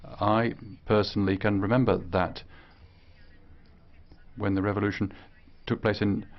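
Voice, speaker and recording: A man interpreting a speech live, speaking in short, halting phrases. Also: on the low side, level tone, lo-fi sound. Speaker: simultaneous interpreter.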